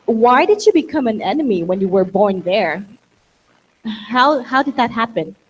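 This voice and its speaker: A woman acting as mediator at a conference, guiding an onstage interview in quick, loosely phrased speech. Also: on the high side, expressive, slight background noise, poor audio. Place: conference room.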